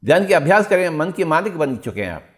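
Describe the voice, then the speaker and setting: A high-voiced man delivers a spiritual discourse as a meditation teacher. He exhorts his listeners, repeating key phrases and putting rhetorical questions to them. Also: big pitch swings, quick pace.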